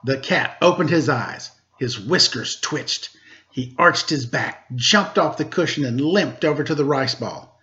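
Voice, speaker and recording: A man working as a storyteller, reading a children's tale in an expressive narrating style. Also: fairly high pitch, measured pace. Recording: slightly dull, lo-fi sound.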